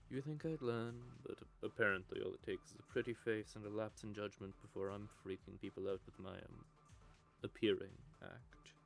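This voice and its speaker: A man's voice: on the low side, fairly even intonation, moderate pace, soft background music. A man playing a bitter, sardonic male vampire who curses and mocks. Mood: flirty. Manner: cursing, mocking, gravelly. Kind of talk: audio drama roleplay.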